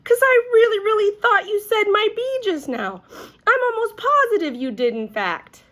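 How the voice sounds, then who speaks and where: A woman voicing an excitable, earnest little girl telling her own story, with childlike, emphatic phrasing as in an animated storybook reading. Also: very high voice, moderate pace, pitched above the performer's own voice, heavily acted. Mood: astonished.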